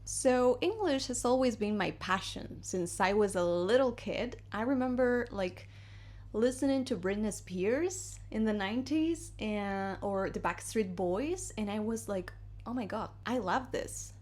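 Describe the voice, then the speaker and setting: A woman from Argentina teaches English in a relaxed, chatty way, with a clear Argentine accent. She is a native Spanish speaker who talks to her viewers as if they were friends, moving between English and Spanish. Her voice sits at a middle pitch. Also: unhurried. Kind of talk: casual video lesson. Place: home in Madrid.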